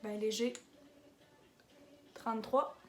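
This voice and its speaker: A woman's voice: a little high, flat delivery, slow, heavy background noise. A young woman with a Québécois French accent talking casually through her everyday makeup routine, rambling into asides and mixing in English beauty terms. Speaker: makeup vlogger.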